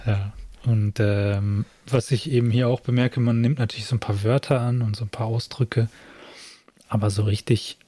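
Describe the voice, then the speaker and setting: A man chatting casually as host, thoughtful and often pausing to search for words. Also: mid-range voice, fairly even intonation, warm tone, slightly rough, no echo. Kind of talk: casual chat.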